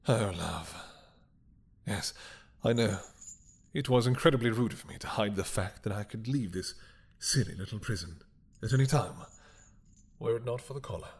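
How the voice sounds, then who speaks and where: A man playing a possessive, doting mad dragon king in a romantic roleplay drama, with a husky, breathy voice that often drops to a whisper and a regal, seductive manner. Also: on the low side. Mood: sardonic, possessive. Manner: slow, regal, seductive purring menace.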